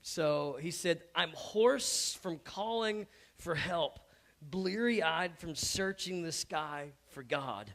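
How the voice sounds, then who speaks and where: Pastor, male, 30s, hoarse, slightly higher voice, casual stop-start sermon delivery that swells to emphasis. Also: lively intonation, slow, a bit bright. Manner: conversational, stumbling restarts, rising to emphatic. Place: church stage before an audience.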